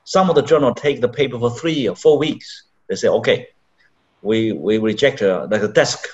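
A middle-aged man, a journal editor, speaking haltingly on a panel over a video call. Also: mid-range voice, darkish tone. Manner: halting, with pauses and fillers. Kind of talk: panel discussion. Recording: dull video call audio from a distance.

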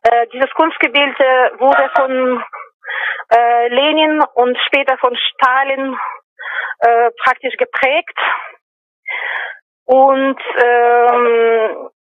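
Woman, 40s, high voice, unhurried and hesitant, dry tinny phone sound. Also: level tone, no echo. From Ukraine. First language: Russian. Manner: hesitant, many fillers. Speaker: speaker at a rally. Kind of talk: live talk over a phone link.

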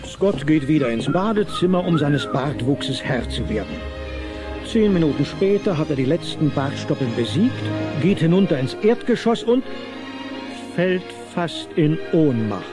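A man's voice: fairly high pitch, big pitch swings, a little slow, music in the background. A man narrating a Christmas audio drama as a scripted storyteller. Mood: storytelling. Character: wry male storyteller of a Christmas tale.

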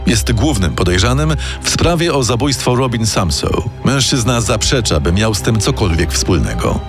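A man's voice: on the low side, sing-song, moderate pace, music in the background. A man delivering scripted, dramatic true-crime narration as the show's narrator.

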